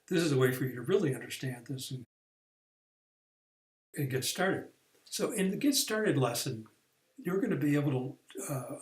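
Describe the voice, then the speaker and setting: A man's voice: medium pitch, echoey room. A man explaining a career course in a plain, step-by-step way, with some restarts and fillers. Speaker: career coach. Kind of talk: recorded online training lesson.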